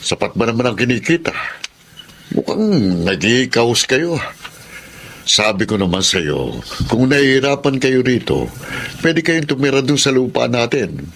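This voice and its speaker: An actor playing a kindly, frank middle-aged farmer uncle in a Filipino radio drama, speaking in a folksy, probing way. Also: male voice, mid-range voice, big pitch swings, a little slow, gravelly, fairly bright tone, slight background noise, exaggerated voice. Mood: kindly concern.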